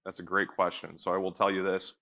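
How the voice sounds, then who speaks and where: A man on a conference panel answering a question in an earnest way, talking in quick bursts and checking in with "right?". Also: sits low, level tone, bright, forceful tone. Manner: earnest, fast bursts, repeats points with "right?". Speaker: panelist from a risk and audit team. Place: conference stage.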